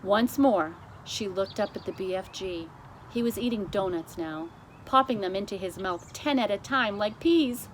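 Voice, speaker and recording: A woman narrates a children's storybook in an expressive read-aloud style. Her pitch is average, and there is faint noise in the recording. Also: moderate pace, poor audio. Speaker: storybook reader.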